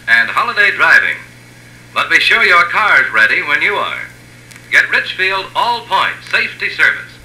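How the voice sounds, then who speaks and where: American radio announcer guy from the 50s, really sharp forceful voice, echoey old recording. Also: high-pitched. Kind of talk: 1950s radio announcing, scripted ad and sign-off. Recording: old 1950s radio, echoey, hissy hum.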